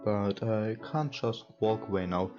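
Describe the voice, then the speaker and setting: Slow, low-voiced man over guitar music. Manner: hesitant, halting, searching for words. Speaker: let's player. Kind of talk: reading visual novel text aloud with casual commentary. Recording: dull lo-fi mic with music behind. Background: guitar game music.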